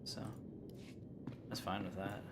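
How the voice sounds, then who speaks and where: A man's voice: slow pace, very noisy. A man giving casual live gameplay commentary, rambling and chatting easily with viewers. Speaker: live streamer and YouTube gamer. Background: loud game music.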